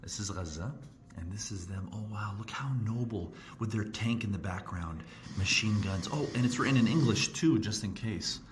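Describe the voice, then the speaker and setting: A man giving sarcastic social media commentary, dropping into hushed asides. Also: on the low side, normal speed, slight background noise.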